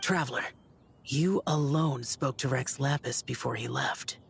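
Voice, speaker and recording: A man voicing a grave, composed young immortal guardian in a video game, serious and measured. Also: medium pitch, vocal fry, a little hiss or hum. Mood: grave, calm.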